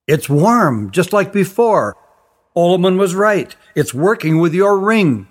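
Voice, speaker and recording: A man voicing an earnest young man in a storybook, speaking warmly and eagerly. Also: high-pitched, sing-song, a little slow, voice pushed up, full character voice. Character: young man woken from sleep, kind and earnest.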